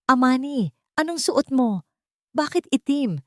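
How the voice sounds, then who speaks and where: A woman's voice: high-pitched, lively intonation, measured pace, no echo, clean studio sound, voice pushed up. A woman voicing a domineering, menacing husband in an audio drama, curt, impatient and threatening. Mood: angry, startled. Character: domineering, menacing husband, a cold scheming groom.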